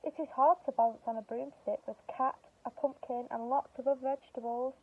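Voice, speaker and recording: A woman's voice: sits high, steady tone, a little fast, narrow, tinny audio. A woman speaking to young children as a teacher and story reader, explaining simply, with questions and emphasis. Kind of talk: speaking to young children, reading a picture book.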